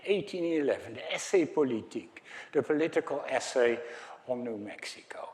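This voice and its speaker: A man with a Dutch accent giving a conference lecture, hesitant and full of fillers, with wry asides to the audience. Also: mid-range voice. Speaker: academic speaker.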